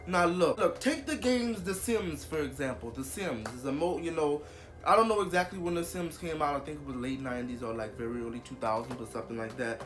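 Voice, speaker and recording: A man's voice: on the high side, fairly quick, a bit bright. Young male vlogger, congested from a cold, loose rambling delivery, light background music. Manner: rambling, repeats himself, many fillers. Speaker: YouTuber. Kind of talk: casual vlog monologue.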